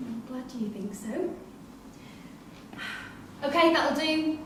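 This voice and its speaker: An actress playing a firm, watchful prison drama teacher, brisk and direct, in a staged play reading. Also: female voice, high voice, animated. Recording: distant echoey hall with clear background noise.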